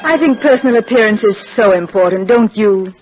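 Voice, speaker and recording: A woman's voice: medium pitch, brisk, natural voice. A woman an actress as a prying, coolly insinuating questioner in a vintage radio play. The recording is muffled, with faint music. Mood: pointed, polite. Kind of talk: old-time radio drama acting.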